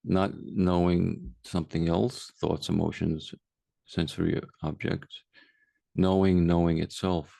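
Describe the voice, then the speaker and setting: A man guiding a meditation as a teacher, speaking calmly and unhurriedly with long pauses. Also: low-pitched, gravelly, no room sound. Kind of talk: guided meditation, unscripted.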